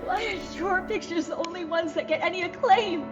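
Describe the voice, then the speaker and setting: A woman's voice: very high voice, normal speed. A woman voicing a jealous, resentful student rival in an anime dub. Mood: bitter. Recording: dull sound, loud music behind.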